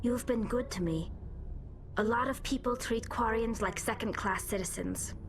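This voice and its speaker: A woman's voice: fairly high pitch, moderate pace, over music, close to an everyday voice. An actress playing a young, grateful female alien crew member in a video game, earnest and sincere in manner.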